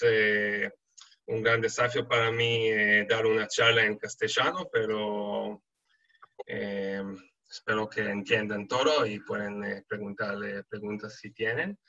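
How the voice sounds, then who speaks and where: A man from Israel speaking with an Israeli accent, giving an online lecture with frequent halting "eh" fillers. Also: fairly low pitch, pushed and bright. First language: Hebrew. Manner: halting, many hesitant "eh" fillers. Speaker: guest speaker. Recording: lo-fi video call audio.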